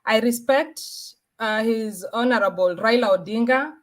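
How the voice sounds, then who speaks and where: A woman's voice: a little high, moderate pace. A woman with a Kenyan accent speaking as a panelist in a political debate, arguing earnestly and repeating her points.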